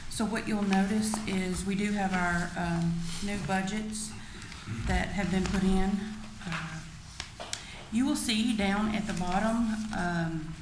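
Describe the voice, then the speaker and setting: A woman presenting an informal report as a finance officer at a public meeting. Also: fairly low pitch. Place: indoor public meeting room. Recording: echoey meeting room, faint background noise.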